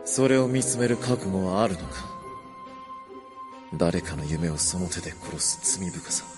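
A man's voice: low-pitched, lively intonation, music in the background. An actor playing a mysterious, solemn man in a Japanese audio drama, speaking portentously, as if testing the listener in a dream. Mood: solemn, ominous. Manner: slow, portentous.

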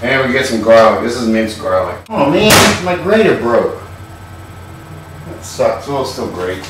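A man's voice: medium pitch, lively intonation, unhurried, very echoey, recorded from a distance, heavy background noise. A man hosting a comedic home cooking show, talking in a sardonic, irreverent way and swearing casually, in a home kitchen. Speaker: host.

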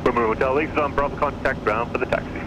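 A very noisy aviation radio carries a male tower controller. He rattles off procedural instructions extremely quickly in a medium-pitched voice. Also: fairly even intonation. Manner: brisk procedural phraseology. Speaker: air traffic controller. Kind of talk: air traffic radio instructions.

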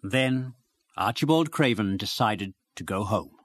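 A man's voice: on the low side, animated, a little slow, fairly bright tone, dry recording. A man reading classic fiction aloud as a radio presenter, in scripted narration. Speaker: presenter and reader.

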